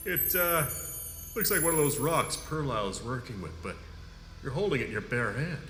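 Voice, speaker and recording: Stiff, forceful-toned military man played by an actor. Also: medium pitch, lively intonation, moderate pace, natural voice. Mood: uncertain. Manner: formal, deferential, hesitant. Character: stiff, dutiful, loyal male military security chief. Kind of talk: sci-fi audio drama acting. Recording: echoey hall, faint background noise.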